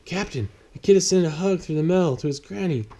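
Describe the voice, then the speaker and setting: A man's voice: a little high, quick pace, warm tone, pitched above the performer's own voice. A man voicing a reluctant male mail carrier in a storybook.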